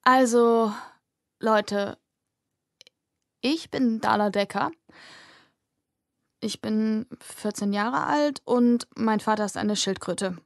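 A woman voicing a defiant fourteen-year-old girl giving a nervous speech in an audiobook, with a slightly gravelly voice. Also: on the high side, slow, long pauses, no echo, clean studio sound.

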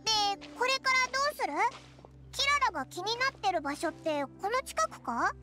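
A woman voicing a small, excitable, childlike game companion with blunt, boyish, exclamatory phrasing, with music behind. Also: very high voice, moderate pace. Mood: curious. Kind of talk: Japanese video game voice acting.

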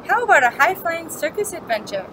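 Woman as eager little storybook girl, high-pitched, adventurous, excitable, noisy recording. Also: fairly quick. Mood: curious, keen.

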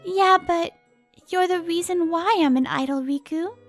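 Very high-pitched, cutesy idol girl. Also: a little slow, faint music behind. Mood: affectionate. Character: sweet, devoted, pouty teenage girl pop idol. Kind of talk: manga dub dialogue.

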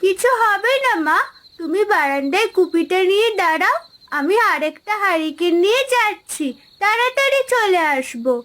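A woman's voice: very high-pitched, animated, normal speed, fairly bright tone. A woman voicing an eager, wistful little village schoolgirl with a childlike, pleading manner in a children's cartoon.

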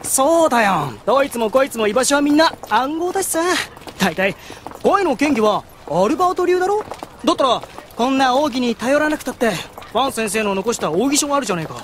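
A man playing a brash, excitable teenage swordsman in an anime audio drama, blunt and boyish in his speech. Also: extremely high pitch, fairly quick, a little hiss or hum, heavily acted. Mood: curious, blunt.

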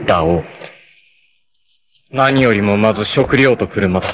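A man voicing a proud, fierce young male martial artist in a 1980s anime, who moves from measured calm to raging shouts. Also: lowish voice, noisy background, lightly acted. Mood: grim, resolute. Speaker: anime voice actor. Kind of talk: 1980s anime dub acting.

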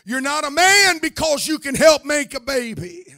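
A middle-aged American man preaches with a very high, gravelly voice. His delivery is forceful and emphatic, stacking repeated phrases in an impassioned church sermon. He speaks close into a handheld microphone, with a bright, hard edge to the sound. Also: expressive, moderate pace, no room sound. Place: indoor church meeting room, at a pulpit. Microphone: handheld microphone held close.

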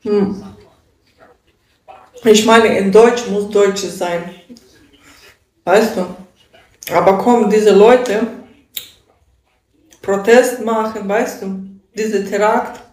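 A young woman with a Ukrainian accent talks slowly and haltingly into a phone held at arm's length. She chats in a loose livestream rant, often checking in with the listener. Her voice carries a roomy echo from the indoor space. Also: medium pitch, fairly even intonation, a little mellow. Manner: halting broken German, often asks "weißt du?". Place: indoor room with a sofa.

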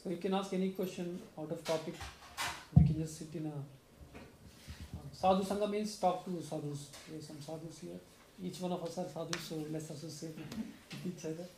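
A young man talks earnestly to a small devotional gathering in a room with a home shrine, his fairly high voice ringing around the space. He explains step by step, checking in with "right?", over a steady hiss of background noise. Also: normal speed. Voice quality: soft low speaking voice. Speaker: speaker summing up a satsang. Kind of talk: devotional talk to a small group. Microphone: stand mic in front, filmed by far camera. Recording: roomy echo with clear background noise.

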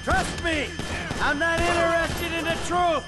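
An irritable, high-pitched fugitive. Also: male voice, level tone, unhurried, loud music behind the voice. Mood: angry shouting. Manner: irritable, blunt. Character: gruff, irritable man on the run, fed up. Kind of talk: video game acting.

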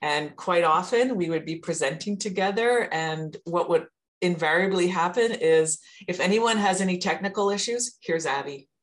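A woman's voice: on the low side, moderate pace. A woman presenter telling a casual anecdote during a group discussion on a video call. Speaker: presenter, educational technology consultant.

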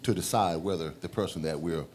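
A man on a panel speaking in a measured, reflective way. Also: deep voice, darkish tone, slight background noise. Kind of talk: casual panel interview.

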